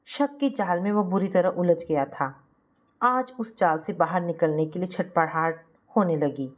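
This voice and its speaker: A woman's voice: fairly low pitch, lively intonation, a little slow. A woman reading a scripted family moral story as its narrator.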